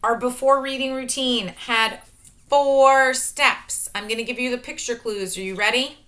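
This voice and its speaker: A woman teacher reading a picture book aloud to young children, talking through each step with expressive, sing-song questions. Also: on the high side, a little slow. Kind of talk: children's read-aloud lesson.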